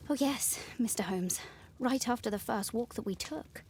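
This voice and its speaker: A woman's voice: a little high, normal speed, darkish tone, husky. An actress playing an earnest young Victorian lady in a video game, with a British accent and polite, formal speech. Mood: earnest, discreet. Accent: British English. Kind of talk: video game dialogue acting.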